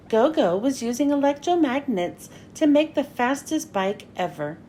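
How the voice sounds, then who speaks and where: A woman reading a children's storybook aloud in an expressive story-time manner. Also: high voice, slight background noise. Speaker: children's read-aloud host. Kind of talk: animated storybook narration.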